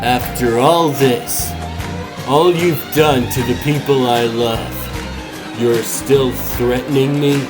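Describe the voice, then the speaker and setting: Man voicing slow-spoken, defiant young superhero. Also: medium pitch, fairly even intonation, lightly acted. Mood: indignant. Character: defiant, idealistic young male superhero facing a villain. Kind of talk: dramatic comic dub acting. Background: dramatic music.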